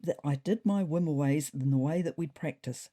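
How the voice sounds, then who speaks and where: A woman's voice: low-pitched, moderate pace, very noisy, close to an everyday voice. A woman voicing a wry, self-important male cat telling his diary in a dry, mock-serious way for a comedy story.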